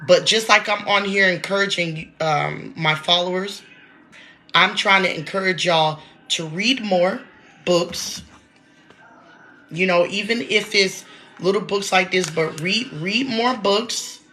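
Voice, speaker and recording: A woman in her late thirties talks casually to her followers. Her voice is bright and a little congested. She often turns to address viewers directly as she goes. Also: fairly low pitch, takes it slowly, slight background noise, poor audio. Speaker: vlogger. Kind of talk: casual talk to camera.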